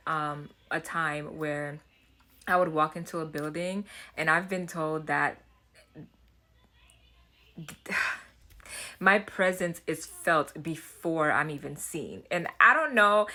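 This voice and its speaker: A woman's voice: low-pitched, sharp tone. A woman with a Haitian accent talking casually to camera as a self-development vlogger, chatty and earnest, often restarting and repeating words.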